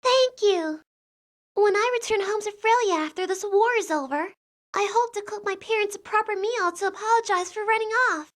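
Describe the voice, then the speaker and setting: A woman's voice: very high-pitched, normal speed. A woman playing an earnest, affectionate, sheltered young princess with a girlish manner in a video game fandub. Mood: hopeful, shy.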